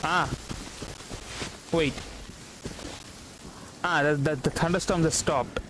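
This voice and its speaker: A man with an Indian accent giving casual game stream commentary, halting and repeating words. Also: fairly high pitch, steady tone, background noise. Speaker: VTuber streamer. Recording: loud game music behind. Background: game music.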